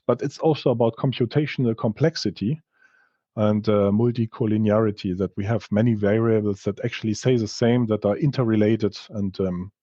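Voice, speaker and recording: A man presents research findings in non-native English with a soft-edged, dark and relaxed voice. His delivery is halting and explanatory, with self-corrections. The sound is close and dry, a little muffled in fidelity. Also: lowish voice, no room sound. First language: not English. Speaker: researcher presenting study results. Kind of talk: technical research presentation with slides. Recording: slightly dull, dry lo-fi audio.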